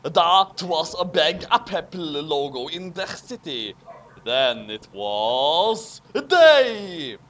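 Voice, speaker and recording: A man's voice: on the high side, very animated, extremely slow, a bit bright. A man giving a dramatic, comic reading of fan fiction, theatrical and exclamatory, with casual asides. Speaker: fan fiction reader, voice performer.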